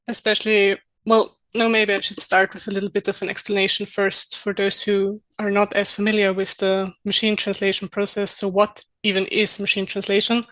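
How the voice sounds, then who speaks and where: A woman's voice: mid-range voice, a bit bright, no echo, rough recording. Young Austrian woman, dry close sound. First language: German. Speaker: podcast guest, localization project manager. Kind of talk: interview answers, explaining.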